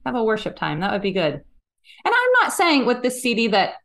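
A woman's voice: fairly high pitch, wildly swooping pitch, fairly quick, a bit bright. A woman guest on a podcast, explaining her views in a thoughtful, casual way. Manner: thoughtful, explanatory, frequent "like" fillers. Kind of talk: casual conversational interview.

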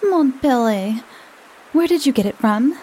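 A woman's voice: mid-range voice, expressive, normal speed, creaky, a little hiss or hum, poor audio. A woman playing a gushing, arch society lady. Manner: bright, arch, gushing exclamations. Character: chatty, gushing upper-class English lady.

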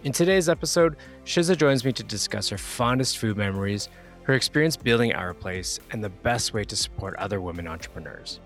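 A man's voice: lowish voice, expressive, a little fast, faint music behind. A man hosting a podcast interview from Toronto. He reads a scripted introduction, then asks casual questions full of fillers. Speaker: podcast host. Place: Toronto, remote call.

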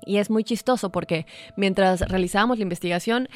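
A woman's voice: mid-range voice, brisk, faint music behind. A woman, co-hosting a casual chat and telling a story with many fillers. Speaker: podcast co-host.

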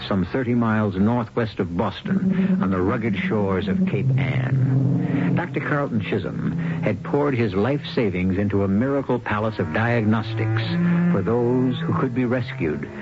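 A man hosting and narrating a mystery radio drama in an ominous, deliberate, theatrical style. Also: fairly low pitch, animated. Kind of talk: dramatic scripted narration, old-time radio. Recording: dull old radio recording under loud music. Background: loud music.

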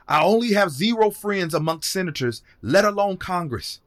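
A man acting a proud, high-pitched male politician. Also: expressive, normal speed, a bit bright. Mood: defensive. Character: proud, guarded male politician under suspicion. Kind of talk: audio drama dialogue.

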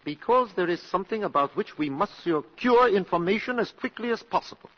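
A husky, anxious colonel. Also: male voice, high-pitched, lively intonation, a little fast. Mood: tense, insistent. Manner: urgent, formal, demanding. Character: anxious, insistent Nazi colonel buying secrets. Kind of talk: 1950s radio drama acting. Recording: dull 1950s radio broadcast.

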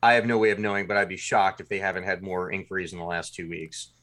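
A man's voice: sits low, little pitch movement, quick pace, pushed and bright. A man being interviewed as a podcast writer and producer, talking casually and colloquially in short bursts.

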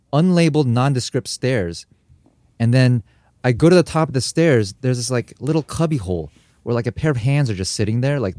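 A man's voice: medium pitch, no room sound, studio quality. A young adult man from America, chatting casually as a podcast co-host and telling stories with acted-out sounds and quotes. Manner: animated storyteller, acts out sounds and quotes. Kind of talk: casual chat.

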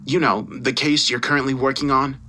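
A man's voice: medium pitch, little pitch movement, fairly quick, soft background music, voice pushed up, close to an everyday voice. A man playing a furious, condescending newspaper boss who berates his employee in a dramatised audio story. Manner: condescending, mocking, forceful.

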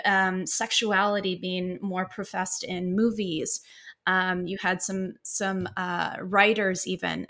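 A woman's voice: on the low side, fairly bright tone. A woman psychotherapist, a podcast guest, answering at length in an easygoing way, with frequent fillers and light laughs. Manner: expansive, laughs easily, many fillers.

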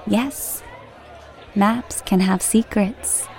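A woman playing a brisk, secretive and dismissive character in a mystery audio drama trailer. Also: mid-range voice, steady tone, darkish tone, some chatter behind. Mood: dismissive, urgent.

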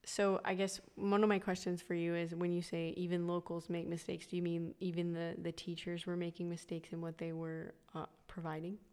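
A woman host leads a relaxed conversation, probing her guest with curious follow-up questions. Her voice sits a little low for a woman, and her phrasing is easygoing and conversational. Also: brisk, few pauses, clean tone. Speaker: podcast host. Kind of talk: casual interview.

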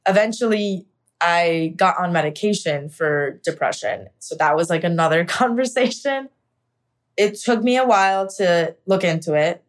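A woman's voice: on the low side, expressive, moderate pace. A young woman from the United States candidly telling her own story in an interview, reflective and full of casual fillers. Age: young adult. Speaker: actor sharing her story. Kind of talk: personal interview monologue.

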